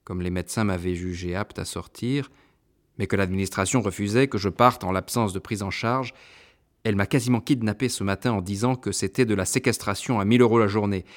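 A man narrating a first-person novel in a measured, introspective way. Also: fairly low pitch. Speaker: audiobook narrator.

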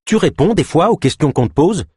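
An impatient young female trainee in an audiobook, read by a man. She is blunt and questioning, with a streetwise edge and a husky tone, recorded close and dry. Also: low voice, huge pitch swings, brisk, a little mellow, no room sound, heavily acted. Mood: exasperated. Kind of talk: audiobook character acting.

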